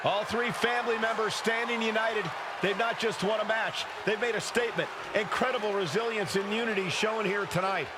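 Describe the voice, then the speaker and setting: Very high-pitched, excited male wrestling announcer. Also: fairly quick. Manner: emphatic, dramatic calls. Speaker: play-by-play wrestling commentator. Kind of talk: excited sports commentary in a video game. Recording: loud arena noise.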